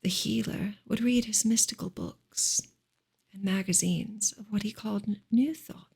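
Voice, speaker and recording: Breathy woman softly reading in ASMR style. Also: medium pitch, normal speed. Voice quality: breathy, often whispered. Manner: hushed, gentle delivery. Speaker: host reading a novel aloud. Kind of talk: soft ASMR audiobook reading.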